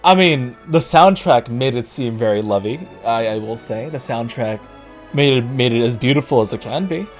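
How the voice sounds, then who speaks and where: A man casually reviewing movies, rambling and correcting himself, his voice worn and going hoarse. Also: mid-range voice, expressive, moderate pace, soft background music. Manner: rambling, self-correcting, laughs often. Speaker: YouTube reviewer.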